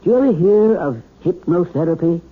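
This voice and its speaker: A kindly family doctor with a very husky, raspy voice that swings widely in pitch. He talks in a folksy, reassuring way. The sound is tinny and narrow, like an old radio drama. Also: lively intonation, brisk.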